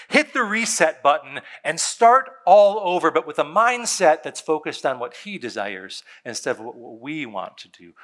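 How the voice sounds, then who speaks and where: A man, a pastor preaching a sermon on a church stage, conversational and emphatic, with dramatic pauses and soft drops in his voice. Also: high voice. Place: church stage before a seated congregation.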